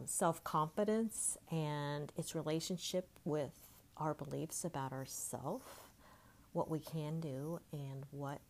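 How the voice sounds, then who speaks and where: Low-pitched woman in her late fifties. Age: late 50s. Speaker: life coach and podcast host. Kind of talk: informal motivational podcast monologue.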